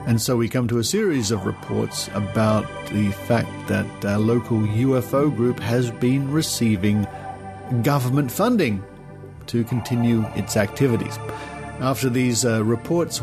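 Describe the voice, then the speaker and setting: A man's voice: medium pitch, moderate pace, music in the background. An Australian man hosting a podcast in a chatty, conversational way, given to rambling asides. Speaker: podcast host.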